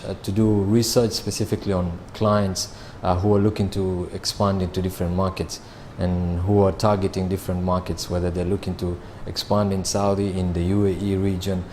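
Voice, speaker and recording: A man, answering interview questions about his sales job in a measured way, with frequent fillers and restarts. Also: low voice, darkish tone, a little hiss or hum. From Kenya. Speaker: sales manager.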